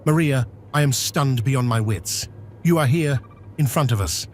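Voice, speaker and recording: A man's voice: mid-range voice, unhurried, a little hiss or hum, lightly acted. A man playing a loyal, sympathetic old family friend in an audio drama. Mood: stunned.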